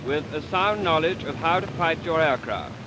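An actor playing a stern middle-aged naval flying commander, addressing trainee pilots in a crisp, authoritative manner in a 1940s British film. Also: male voice, a little high, lively intonation, moderate pace, close to an everyday voice. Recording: faintly noisy 1940s film soundtrack.

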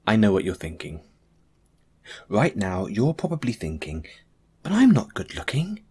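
Breathy, hushed man, slow and comforting. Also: on the low side, wildly swooping pitch. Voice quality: breathy, hushed, at times whispering. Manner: gentle, reassuring, intimate. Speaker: audio roleplay performer. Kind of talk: soft comforting audio roleplay monologue.

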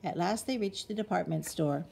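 A woman with a slightly husky voice reading a children's picture book expressively as a bedtime story. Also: on the low side, normal speed, dark tone.

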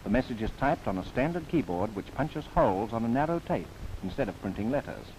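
A man's voice: medium pitch, big pitch swings, a little fast, a little mellow, a little hiss or hum, rough recording. A man narrating a scripted 1930s government documentary in formal, theatrical phrasing with wry asides, on an old film soundtrack.

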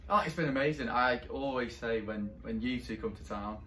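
A man's voice: mid-range voice, normal speed. Enthusiastic young Englishman in an echoey office. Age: young adult. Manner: enthusiastic, jokey. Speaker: theatre head of marketing. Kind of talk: casual interview answers. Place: small theatre office. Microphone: shared camera a step away. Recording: echoey office, noisy background.

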